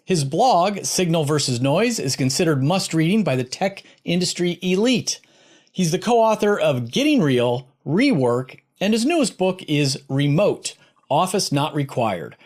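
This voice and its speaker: A man's voice: a little high, very animated, measured pace, a little mellow. A middle-aged man hosting a podcast, presenting and interviewing in a conversational way. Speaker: podcast host interviewing a guest. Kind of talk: scripted intro and conversational interviewing.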